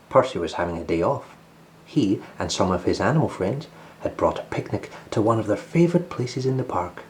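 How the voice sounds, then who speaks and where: A man narrating a children's storybook as host, in an expressive read-aloud style. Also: lowish voice, slight background noise, poor audio.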